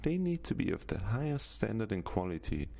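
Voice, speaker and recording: Gravelly Austrian-accented man, lively pitch. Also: brisk. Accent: Austrian German. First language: German. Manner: steady, list-like delivery with frequent "Now" openers. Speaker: course instructor. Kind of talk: scripted course introduction.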